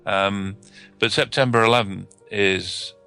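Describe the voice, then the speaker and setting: A man speaking in a hesitant, halting way as he discusses esoteric symbolism. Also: on the low side, steady tone, creaky, pushed and bright, smooth, faint music behind.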